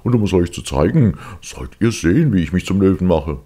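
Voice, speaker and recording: A man voicing a boastful ogre in a fairy tale, with a gruff, barking delivery. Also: low-pitched, very animated, fairly quick, mellow, voice pushed up. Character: gruff, boastful male ogre, rich castle owner.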